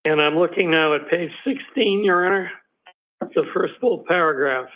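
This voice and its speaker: A man's voice: fairly high pitch, moderate pace. A man arguing as a special prosecutor before a judge, hesitant with many ums and uhs, losing his voice. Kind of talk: courtroom argument. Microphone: video call. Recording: tinny phone-like audio from a video call.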